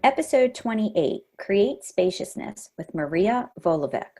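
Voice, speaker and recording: A woman's voice: medium pitch, animated, measured pace, dark tone, husky, soft background music. A woman hosting a podcast who reads her intro and then chats with her guest in a friendly way. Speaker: podcast host.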